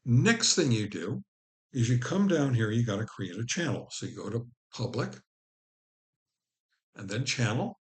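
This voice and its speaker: A man's voice: medium pitch, sing-song, measured pace, pauses often. A man presenting an informal step-by-step tutorial as host, instructing the viewer directly. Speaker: host of a ham radio how-to channel.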